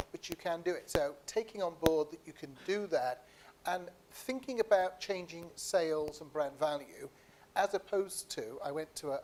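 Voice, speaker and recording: A man's voice: on the high side, darkish tone, a little hiss or hum. A man speaking on a panel, explaining his case emphatically point by point. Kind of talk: panel discussion talk.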